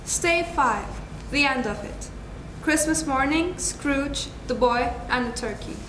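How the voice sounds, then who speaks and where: A young woman reads scripted story narration in a dramatic style. Her voice is high, and it carries through an echoey room with noise clearly behind it. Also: slow pace. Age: young adult. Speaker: student narrator.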